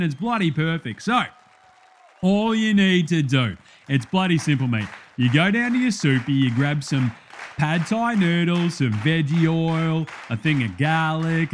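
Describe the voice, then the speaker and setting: A man's voice: a little high, a little hiss or hum. A man voicing a brash, matey Australian bloke hosting a spoof cooking show, giving blokey, slangy, casual instructions. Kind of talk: parody TV cooking demonstration.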